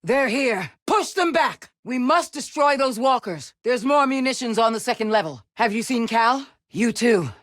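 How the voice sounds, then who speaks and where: A woman playing a wise, resolute Jedi mentor and resistance leader in a video game, speaking in a measured, solemn, commanding way. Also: fairly high pitch, lively intonation, dry recording, clean studio sound, close to an everyday voice. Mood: urgent, commanding. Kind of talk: dramatic video game character acting.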